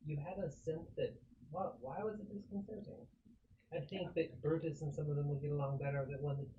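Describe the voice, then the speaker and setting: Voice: medium pitch, fairly even intonation, a little fast. A tabletop roleplaying player talking casually in character as an android. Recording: noisy, dull lo-fi sound.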